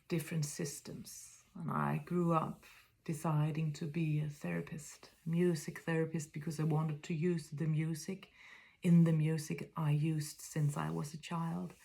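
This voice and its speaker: A woman in her fifties from Denmark with a Danish accent, speaking in a reflective spiritual monologue that is soft, often whispery, and halting as she searches for words. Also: deep voice, steady tone, gravelly. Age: 50s.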